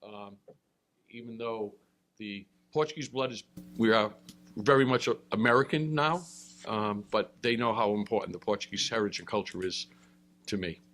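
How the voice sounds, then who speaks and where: A middle-aged man, speaking on a panel in a relaxed, halting way with many fillers. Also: on the low side. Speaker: state senator. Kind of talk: panel remarks.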